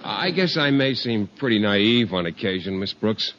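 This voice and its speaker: An actor playing a naive, shy biology teacher, earnest and hesitant, in a 1950s radio comedy. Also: male voice, fairly low pitch, lively intonation, moderate pace, lo-fi sound, lightly acted. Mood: guileless. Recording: vintage 1950 radio, faint noise.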